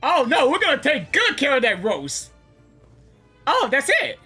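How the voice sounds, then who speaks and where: A woman's voice: high voice, sing-song, measured pace, sharp tone. A woman doing a casual, exclamatory reaction to a game as she talks back to it, music behind. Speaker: gaming reaction video creator. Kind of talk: casual excited gameplay reaction.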